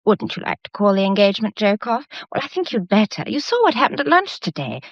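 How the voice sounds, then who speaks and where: Expressive British woman. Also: mid-range voice, fast talker, no echo, muffled recording. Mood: earnest, tense. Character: well-bred woman of a troubled gallery family household. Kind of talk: period mystery radio drama acting.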